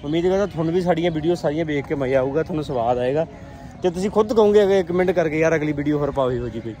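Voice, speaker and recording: A man's voice: on the high side, racing, warm tone, muffled recording. A talkative man from Amritsar with an Indian Punjabi accent, chatting casually and asking questions as a visiting guest on a travel vlog. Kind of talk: casual chat while sightseeing. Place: historic fort, museum rooms and open grounds. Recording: noisy handheld vlog with ambient noise.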